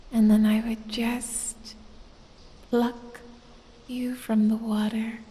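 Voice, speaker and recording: A woman voicing a sultry, predatory lamia who teases and coaxes with quiet menace in a breathy, whispery ASMR roleplay, drawing out her words seductively. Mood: softly menacing. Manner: slow, drawn-out, seductive teasing with low chuckles. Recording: long cavernous reverb.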